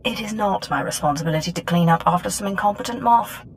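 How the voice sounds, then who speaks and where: A woman playing a cold, authoritative dark lord in a video game, with a cutting, aloof manner. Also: mid-range voice, fast, a little hiss or hum, natural voice. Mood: imperious. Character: cold, imperious female dark council lord. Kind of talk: scripted video game acting. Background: music.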